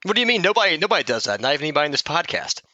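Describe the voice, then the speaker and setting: Bright, animated man bantering on a podcast. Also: a little high, rapid-fire, no echo, lo-fi sound. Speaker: podcast co-host. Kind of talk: casual banter.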